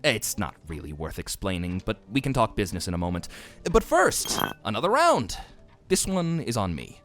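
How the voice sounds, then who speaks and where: A man's voice: on the low side, wildly swooping pitch, moderate pace, fairly bright tone. A man playing a secretive, dry, affable innkeeper in a fantasy audio drama, with a hesitant, wry manner. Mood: dry, businesslike. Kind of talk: fantasy podcast acting.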